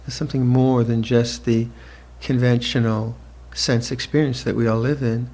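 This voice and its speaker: Man, seventies, monk giving a dharma talk, slow reflective delivery, retreat hall. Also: mid-range voice, level tone. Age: 70s. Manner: slow, reflective, with soft laughs and restarts. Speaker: monk teacher.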